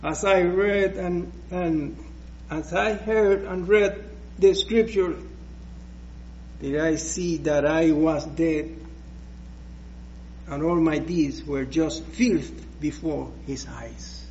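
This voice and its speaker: Slow, hesitant Puerto Rican preacher. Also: male voice, fairly high pitch, a little mellow, highs cut off, slight background noise. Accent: Puerto Rican Spanish. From Puerto Rico. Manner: slow, hesitant, with many restarts. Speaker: guest preacher. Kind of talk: personal testimony in church.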